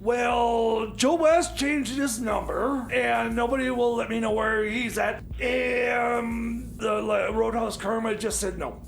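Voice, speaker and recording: A man's voice: extremely high pitch, steady tone, slow, vocal fry, hardly any pauses, crowd noise, exaggerated voice. A man voicing a flustered male festival booker who stammers and hesitates. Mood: anxious. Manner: stammering, hesitant, full of fillers.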